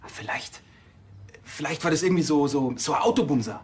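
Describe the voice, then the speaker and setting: An actor playing an earnest, naive junior policeman in a TV drama, halting but eager. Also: fairly high pitch, animated, darkish tone, breathy edge. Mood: earnest, inquisitive.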